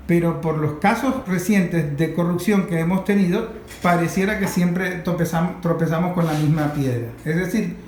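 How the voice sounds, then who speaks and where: A Venezuelan man presents a news talk programme as its host. His voice sits a little high. The room adds clear echo, and music plays faintly behind him. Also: darkish tone. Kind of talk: news talk show presenting and questioning guests. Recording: roomy sound with faint music.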